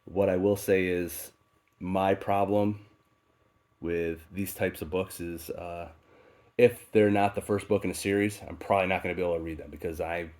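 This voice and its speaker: A man with a very low voice, stuffy and congested from a head cold. He talks in a relaxed, unhurried way, filling gaps with "uh" and "um" as he goes through his books. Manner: relaxed and unhurried, with many fillers. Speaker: book channel host. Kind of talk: casual unboxing talk. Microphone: off-camera voice, faceless video.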